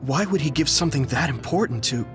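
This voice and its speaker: A man's voice: fairly high pitch, animated, fast, music in the background, lightly acted. Man acting earnest young soldier hero. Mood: concerned. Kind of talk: video game cutscene acting.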